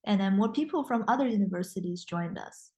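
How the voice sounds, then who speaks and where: A woman's voice: medium pitch, lively intonation, a little fast, a little mellow, muffled recording. Hesitant young woman, Mandarin native speaker. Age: young adult. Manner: hesitant, many restarts and fillers. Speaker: guest. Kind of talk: casual interview.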